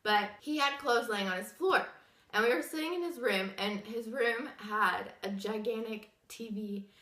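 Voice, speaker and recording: A woman's voice: a little high, a little slow, pushed and bright, echoey room. A young woman with a sick, wheezy voice, chatting and rambling through a casual story time vlog. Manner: chatty, rambling with many fillers. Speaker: vlogger.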